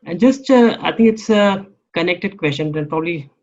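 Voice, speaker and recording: A man's voice: high-pitched, animated, normal speed. A man moderating, reading out audience questions with hesitant fillers. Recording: dull, distant mic.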